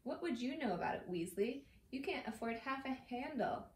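A woman's voice: a little high, expressive, measured pace, a bit bright. A woman voicing a spiteful, sneering schoolboy bully from a storybook, in an echoey room. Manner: sneering, snappish. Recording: roomy echo, distant mic, faint noise.

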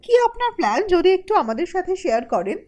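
A woman's voice: very high voice, big pitch swings, racing, darkish tone. A woman voicing an eager, probing media reporter in a cartoon. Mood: eager, inquisitive. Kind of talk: Bengali cartoon voice acting.

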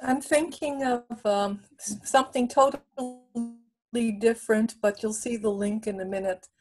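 An older woman from Canada speaking up in an online class from her home, thoughtful and measured, then candid. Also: on the high side, steady tone, darkish tone, soft background music, poor audio. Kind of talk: class discussion over video call. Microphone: laptop microphone on a video call.

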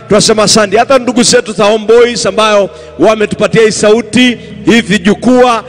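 A man with a Kenyan accent making long, flowing announcements and thank-yous to a night stadium crowd. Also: high voice. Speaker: crusade organizer. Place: large outdoor stadium at night. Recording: reverberant stadium PA, faint music.

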